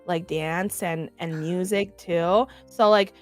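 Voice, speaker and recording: A woman's voice: on the low side, fairly even intonation, takes it slowly, soft background music. A young woman chatting casually, rambling with lots of "like". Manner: rambling, many fillers and restarts. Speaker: vtuber. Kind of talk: casual chat.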